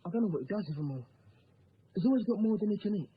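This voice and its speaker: A man acts a homeless British youth in a drama. The voice is high for a male, streetwise and probing, with a haunted edge. The recording is slightly muffled, with faint noise behind it. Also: animated, quick pace. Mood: wistful storytelling. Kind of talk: dramatic dialogue with storytelling monologues.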